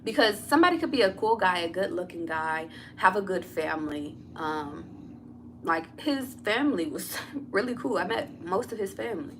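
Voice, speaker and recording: A woman's voice: mid-range voice, animated, moderate pace, fairly bright tone, a little hiss or hum. A woman, a tarot reader, telling a personal story to her viewers in a blunt, candid way, swearing freely and talking straight to the audience.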